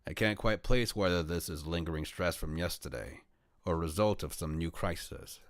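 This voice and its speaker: A young man with a very low, deep voice reads a visual novel aloud in the first person. His delivery is calm and even, close to a home desk mic with a dry, dead sound. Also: moderate pace, no echo. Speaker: VTuber streamer reading a visual novel.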